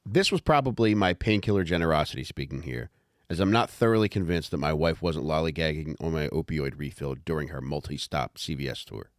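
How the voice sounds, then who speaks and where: A man narrating a comic memoir audiobook in a wry, measured, profane way. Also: a bass voice, expressive, dry recording, studio quality.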